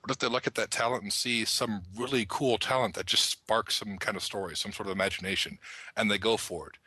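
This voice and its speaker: A man's voice: on the low side, a little fast, pushed and bright, breathy edge, no room sound. A man on a gaming podcast panel, talking enthusiastically and at length about his thoughts. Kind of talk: panel discussion of an online roleplaying game.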